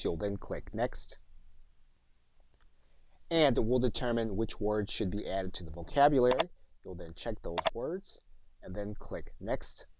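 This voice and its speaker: A man narrating a software tutorial as an instructor, methodical and step by step, recorded as a close-miked voice-over. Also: lowish voice, poor audio.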